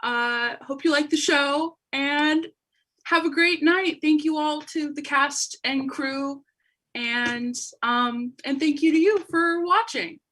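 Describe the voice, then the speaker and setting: A woman's voice: high-pitched, slow pace, sharp tone. A woman hosting a live online show, casually addressing viewers. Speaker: host of live table read.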